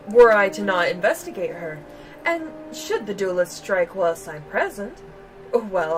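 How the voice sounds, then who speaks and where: A woman's voice: mid-range voice, far from the mic, lightly acted, poor audio. A woman voicing a prim, articulate investigating reporter in a fantasy game, formal and wordy.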